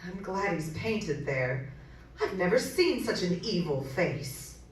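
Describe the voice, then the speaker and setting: Woman playing a lively, well-mannered daughter. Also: lowish voice, slow pace. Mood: uneasy. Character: well-mannered grown daughter of a rich man. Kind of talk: amateur old-time radio drama acting. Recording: echoey, distant, faintly noisy room.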